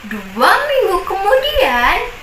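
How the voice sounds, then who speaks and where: A young woman university student telling a folk tale in a slow, expressive, sing-song way. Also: very high voice. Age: young adult. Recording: echoey room, distant mic, noisy.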